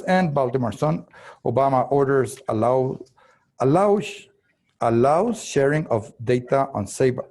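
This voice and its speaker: A man whose first language is Spanish, moderating a conference panel in English. He reads the guests' bios in an unhurried, formal way, with halting restarts and throat-clearing along the way. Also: mid-range voice, dark tone. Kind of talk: formal introduction, reading guest bios aloud.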